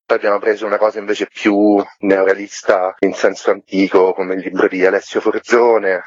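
A man's voice: lowish voice, little pitch movement, moderate pace, husky, no echo. A man being interviewed on the radio, explaining things in a colloquial, wry, self-deprecating way. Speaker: interview guest, book series curator. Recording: muffled, lo-fi remote radio link.

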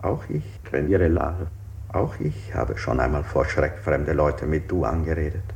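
A man's low voice, full of creak, playing a calm interrogator in a sixties radio play. He speaks formally and measuredly, feigning sympathy with a sinister undertone. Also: measured pace, heavily acted. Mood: insinuating sympathy. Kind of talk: 1960s German radio play acting.

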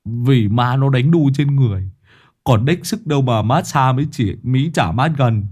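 A man voicing a gruff, irritable sidekick who speaks in a brusque, snappy way. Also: mid-range voice, expressive, moderate pace, a little mellow. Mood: annoyed.

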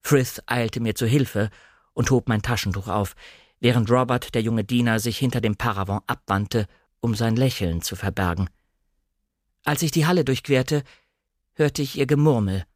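A male audiobook narrator with a mid-pitched voice reads literary first-person prose. He is composed and careful, and the sound is dry and close. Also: normal speed, no room sound.

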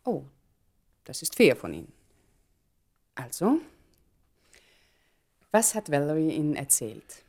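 A woman's voice: low-pitched, very animated, takes it slowly, pauses often, a little mellow, breathy edge, full character voice. An actress in a German radio play voicing a shrewd, poised society woman with a polite, knowing irony. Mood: knowing, ironic.

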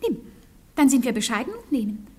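Radio comedy role: saucy maidservant, breathy, rather high voice, shrewd and teasing. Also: female voice, very animated, a little fast, a little mellow, full character voice. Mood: pert, teasing. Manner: pert, teasing, theatrical. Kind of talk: German radio play acting, stagy comedy.

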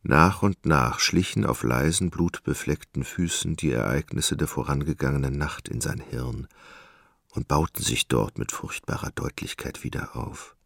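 Very deep, gravelly male narrator. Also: fairly bright tone, no room sound, clean studio sound. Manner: measured, storytelling. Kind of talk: literary scripted narration in a radio drama.

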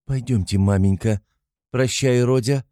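A man voicing a proud, quick-tempered young woman in an audiobook, a concerned sister who is earnest and holds herself in check. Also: medium pitch, dark tone, no echo, clean studio sound, pitched above the performer's own voice, lightly acted. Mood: pained. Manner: earnest, self-controlled.

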